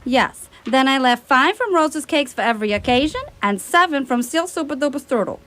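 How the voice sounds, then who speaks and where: A woman playing a feisty, indignant cake delivery woman in radio theatre, animated and emphatic. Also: high-pitched, normal speed, slight background noise. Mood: matter-of-fact.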